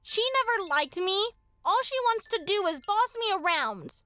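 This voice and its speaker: A woman's voice: very high-pitched, expressive, moderate pace, sharp tone, no echo, full character voice. A woman playing a spoiled, petulant young girl in a horror radio drama. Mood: sulky. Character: spoiled, sulky young girl, vain and lonely.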